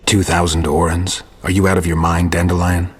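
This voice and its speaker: Very deep, raspy monster hunter. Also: male voice, normal speed, in a large hall, faint music behind. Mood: exasperated. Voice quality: low gravelly rasp. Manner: dry, deadpan, terse. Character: gruff, sardonic male monster hunter, world-weary. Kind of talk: video game voice acting.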